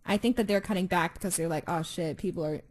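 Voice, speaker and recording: A woman's voice: on the low side, fast talker. A woman guest-hosting a podcast, chatting casually with friends. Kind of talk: casual chat.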